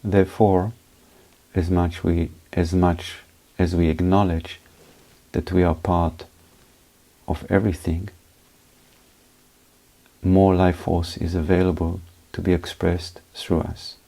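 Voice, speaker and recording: A man leading a guided meditation as a teacher, speaking in short, unhurried phrases with pauses between them. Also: low-pitched, flat delivery, gravelly, mellow, poor audio.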